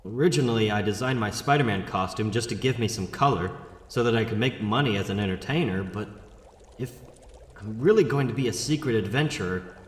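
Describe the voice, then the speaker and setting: A man's voice: on the low side, brisk, lightly acted. A man voicing a plucky, wisecracking young superhero who talks to himself in a comic book dramatic reading. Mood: confident, excited. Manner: animated, talking to himself. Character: young wisecracking superhero photographer, self-critical but plucky. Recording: echoey, long reverb, music underneath.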